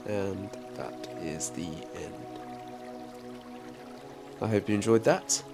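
A man with a head cold, nasal and bunged up, calmly guiding a breathing meditation and counting breaths. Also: lowish voice. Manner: calm, unhurried counting and instruction. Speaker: meditation guide and podcast host. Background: soft background music.

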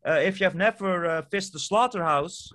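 Guest, male, Dutch accent, non-native English, somewhat high pitch, stumbling, repetitive delivery. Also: fairly bright tone. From Netherlands. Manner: halting, many fillers and repeated words. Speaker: guest, permaculture project founder. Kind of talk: casual interview answers.

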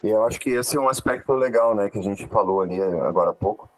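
Low-voiced podcast host, dry sound. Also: male voice, fast, darkish tone, no room sound, rough recording. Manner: slangy and chatty, lots of "cara" and "pô". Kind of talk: casual podcast interview.

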